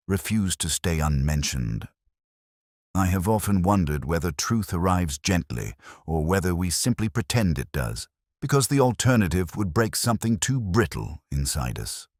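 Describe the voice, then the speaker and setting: A man narrating a scripted first-person detective story in a solemn, measured, literary manner. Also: deep voice, expressive, no room sound, clean studio sound. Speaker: audio drama narrator.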